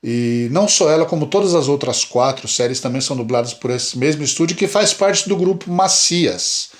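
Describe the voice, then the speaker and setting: A Brazilian man from Brazil co-hosting a casual podcast chat, animated and emphatic, often repeating words. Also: on the high side, normal speed. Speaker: podcast co-host.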